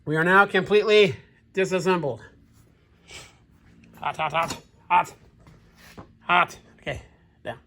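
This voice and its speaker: A man's voice: high voice, takes it slowly, pauses often, sharp tone, slight background noise. A man with a cold, sniffling now and then, thinking aloud in casual commentary as he takes apart an old machine in his shop. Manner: thinking aloud, plain-spoken, musing. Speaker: machinist, channel host.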